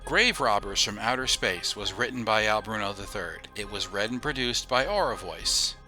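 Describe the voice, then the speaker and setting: A man's voice: lowish voice, expressive, normal speed, bright, forceful tone. A man announcing scripted credits for a podcast. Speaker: show announcer. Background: music.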